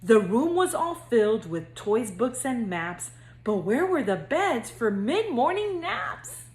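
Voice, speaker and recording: Higher-voiced woman reading to young children. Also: sing-song, slow pace, echoey room. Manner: gentle, child-directed, singsong storytelling delivery. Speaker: school counselor reading to children. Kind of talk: read-aloud children's storybook.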